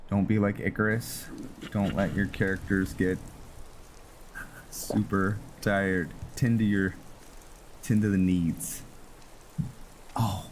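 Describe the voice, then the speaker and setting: A man casually talking through his gameplay, musing aloud with frequent pauses and ums. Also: low voice, noisy background. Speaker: gamer playing a roleplay let's play. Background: game music and rain sounds.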